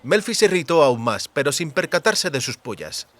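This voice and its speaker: Male narrator for fantasy audiobook, slightly high voice with a forceful, bright tone. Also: very animated, moderate pace. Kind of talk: scripted literary narration of fantasy novel.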